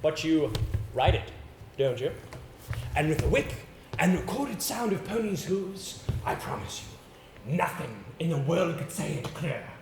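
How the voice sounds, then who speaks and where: A man acting in an amateur stage play as an earnest, confident young gentleman, formal, deliberate and declamatory. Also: on the high side, animated, gravelly. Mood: persuasive. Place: indoor theatre stage. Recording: echoey stage recording with background hiss.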